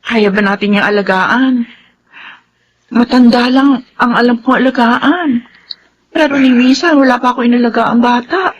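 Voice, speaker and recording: A woman's voice: a little high, moderate pace, lo-fi sound, close to an everyday voice. A woman acting an anxious, unsure wife and new adoptive mother in a Filipino radio drama. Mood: worried, hesitant.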